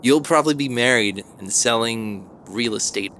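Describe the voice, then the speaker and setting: A young man playing a wisecracking, excitable slacker musician in an audio drama, casual and sardonic. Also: mid-range voice, moderate pace, slight background noise, close to an everyday voice. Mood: musing. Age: young adult.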